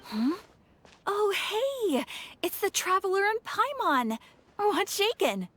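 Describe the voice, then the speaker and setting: A woman's voice: extremely high pitch, very animated, slow, slight background noise, full character voice. A woman playing a breezy, thoughtful young receptionist in a video game, informal and playful. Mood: surprised, friendly. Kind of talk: English video game voice acting. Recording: soft music behind the voice. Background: game music.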